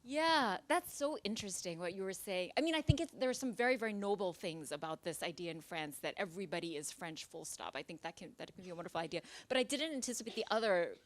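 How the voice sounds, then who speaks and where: A woman from Singapore, a novelist, talking through her ideas at a library event, pausing with fillers and restarting her sentences. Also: lowish voice, lively intonation, no room sound. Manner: thoughtful, with many fillers and restarts. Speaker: novelist guest. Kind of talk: conversational author talk. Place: library event space with live audience.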